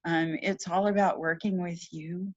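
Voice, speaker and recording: A woman in casual spiritual conversation, speaking earnestly and haltingly with emphatic repeats as a show host. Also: on the low side, steady tone, very noisy. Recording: dull, lo-fi audio.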